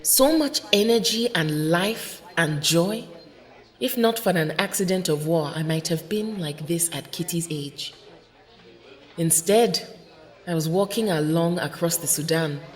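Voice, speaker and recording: A woman playing a serene, kindly superheroine with a measured, formal and gentle delivery in an audio drama. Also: on the low side, natural voice. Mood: wistful. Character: serene, kindly, dignified African goddess-like superheroine.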